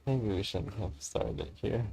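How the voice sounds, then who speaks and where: A woman's voice: very deep voice, takes it slowly, creaky, mellow, breathy edge, no echo. A woman co-hosting a game stream in casual chat. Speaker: stream co-host.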